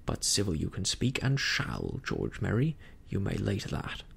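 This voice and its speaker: A man voicing a crafty, domineering pirate sea cook in a storybook, taunting in rough nautical dialect with a husky, gravelly voice. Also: deep voice, huge pitch swings, normal speed, fairly bright tone, voice pushed down, full character voice. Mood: angry, threatening.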